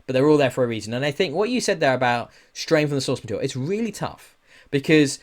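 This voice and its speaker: A man's voice: mid-range voice, lively intonation, quick pace. Man chatting casually and opinionatedly. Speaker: podcast host. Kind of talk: casual opinionated discussion of films and games.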